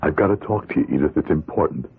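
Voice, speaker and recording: Husky, bitter ex-con in old radio. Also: male voice, rumbling low, animated, quick pace, exaggerated voice. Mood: urgent, haunted. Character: bitter, desperate middle-aged ex-convict crook. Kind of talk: old radio drama acting. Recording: muffled, narrow-band old radio recording.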